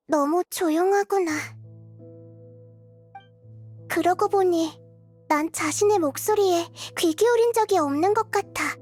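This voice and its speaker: A woman voicing a small, gentle child goddess in a game, soft-spoken, thoughtful and wistful, with measured phrasing. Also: very high voice. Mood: lonely, questioning. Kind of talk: Korean game voice acting, introspective monologue.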